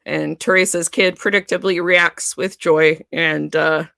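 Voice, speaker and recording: A woman co-hosting a podcast, chatting casually about a TV series, animated and laughing often. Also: on the low side, a little slow, vocal fry, dry recording, clean studio sound. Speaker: podcast co-host. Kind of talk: casual fan discussion.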